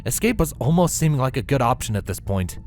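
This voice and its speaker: A man's voice: mid-range voice, sing-song, quick pace, darkish tone. Male story narrator over music.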